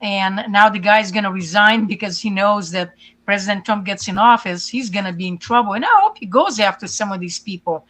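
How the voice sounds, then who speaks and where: A woman talks as an interview guest at a middling pitch. She speaks earnestly and pauses often on fillers and restarts. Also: fairly bright tone. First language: Hungarian. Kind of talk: casual interview talk.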